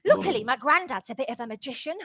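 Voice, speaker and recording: Woman playing a very high-pitched cheeky fibbing boy. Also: quick pace, breathy edge, dry recording, studio quality, full character voice. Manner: exaggerated, excitable. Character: cheeky boy fibber, dramatic and excitable. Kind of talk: children's story performance.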